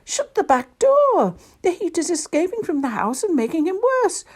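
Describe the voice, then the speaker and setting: A woman voicing a small, excitable male elf who exclaims in an urgent, fretful way. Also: extremely high pitch, normal speed, pitched above the performer's own voice. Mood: urgent, bossy.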